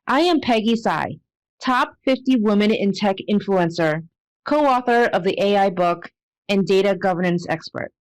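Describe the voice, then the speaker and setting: A woman hosting a podcast, delivering a scripted show introduction in a polished, measured way. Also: mid-range voice, animated, no room sound, rough recording. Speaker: podcast host. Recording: dry close sound.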